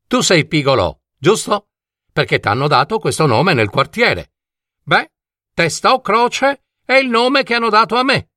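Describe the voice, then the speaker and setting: A man's voice: on the high side, dry recording, studio quality, pitched above the performer's own voice, full character voice. A man voicing a kindly, eccentric old vagabond who drives a dream carriage, rambling theatrically in a storybook tale. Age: older. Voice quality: warm voice. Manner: theatrical, rambling, exclamatory, full of rhetorical questions.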